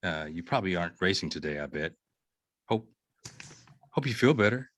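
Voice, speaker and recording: A man's voice: deep voice, animated, normal speed, a bit bright, dry recording. A man acting a friendly, supportive male companion in a screenplay table read. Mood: gentle, supportive.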